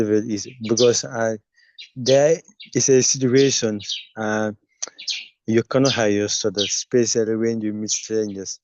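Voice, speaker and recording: A man who stutters, speaking haltingly on a video call during a webinar. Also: lowish voice, a little mellow, no room sound. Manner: stutters, halting with many pauses. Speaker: webinar participant. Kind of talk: spontaneous comment. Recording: dry muffled video call audio.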